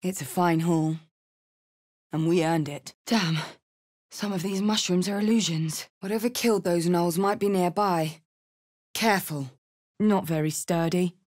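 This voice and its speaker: A woman's voice: on the low side, a little slow, a little mellow, lightly acted. A woman voicing a bold, blunt, battle-hardened tiefling warrior for a fantasy video game, with dramatic delivery. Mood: wary, matter-of-fact.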